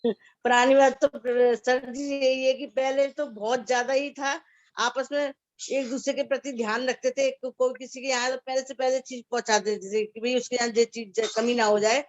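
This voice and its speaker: A woman fondly recalling the old days in a casual group chat, speaking haltingly with frequent restarts. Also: high-pitched, steady tone, no echo. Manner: nostalgic, halting, restarts often. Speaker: discussion guest. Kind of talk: casual reminiscing. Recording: dry, dull lo-fi call audio.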